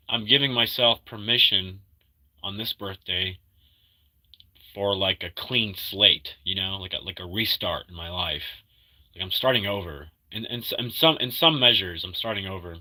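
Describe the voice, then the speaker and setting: A man in his thirties, sick, chatting casually and halting often while reading viewers' comments. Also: fairly low pitch, sharp tone, poor audio. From Argentina. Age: 30s. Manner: rambling, halting, full of fillers and restarts; upbeat when greeting viewers. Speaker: livestreamer reading chat. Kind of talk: casual live-stream chat.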